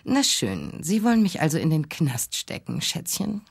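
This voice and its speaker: A low, husky-voiced female talk radio host with a sharp tongue. She is sarcastic, self-assured and condescending, in a dry, close radio drama sound. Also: sing-song, moderate pace, no echo, exaggerated voice. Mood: mocking, combative. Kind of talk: German radio drama acting.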